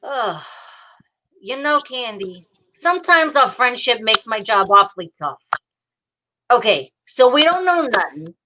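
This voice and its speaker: A woman playing a gruff but fond, exasperated police detective in an old-time radio drama, hardboiled and teasing. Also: a little high, very animated, a little slow, bright, forceful tone, a little hiss or hum, heavily acted, poor audio.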